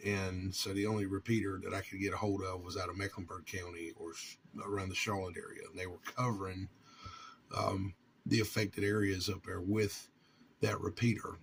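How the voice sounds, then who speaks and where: A man from North Carolina, talking informally to his viewers in a halting, earnest way with long pauses. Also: low voice, little pitch movement, gravelly, a bit bright, slight background noise. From North Carolina, United States. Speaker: vlogger and amateur radio hobbyist.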